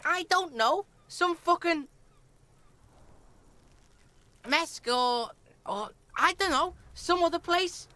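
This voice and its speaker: A defiant young street punk from a video game. The voice is high and piercingly bright, with a swaggering sneer and a hostile, cocky edge. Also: female voice, expressive, slow pace, no room sound, exaggerated voice. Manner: swaggering, sneering, speaks of self in third person. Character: cocky foul-mouthed delinquent street boy, defiant, hostile. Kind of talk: video game character acting.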